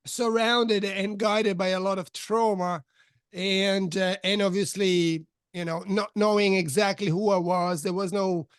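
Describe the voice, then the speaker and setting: Fifties male podcast guest, raised in Switzerland; halting, filler-heavy telling, crisp high voice. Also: no room sound, studio quality. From Switzerland, of Italian parents. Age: 50s. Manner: halting, many fillers and restarts, soft laughs. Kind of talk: candid life-story interview.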